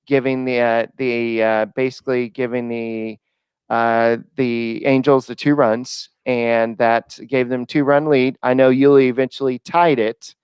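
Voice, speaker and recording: A man with a smooth, very clear voice co-hosts a casual sports podcast. He talks in a relaxed, hesitant way, often pausing on fillers. The recording is dry and close. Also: mid-range voice, dry recording. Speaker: podcast co-host.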